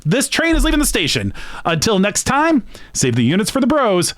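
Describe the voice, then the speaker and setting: A man hosting a sports betting channel, talking alone to his viewers in a rambling, earnest, conversational way that swings between emphatic bursts and hushed asides. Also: high voice, huge pitch swings, moderate pace, no room sound. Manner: long-winded. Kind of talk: reflective solo monologue to camera.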